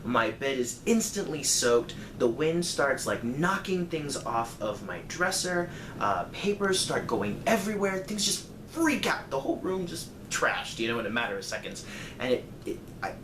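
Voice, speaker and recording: A man's voice: fairly high pitch, sing-song, moderate pace. A man telling a personal story casually, in a rambling, conversational way with dramatic emphasis. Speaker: storyteller vlogger. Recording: echoey room, clear background noise.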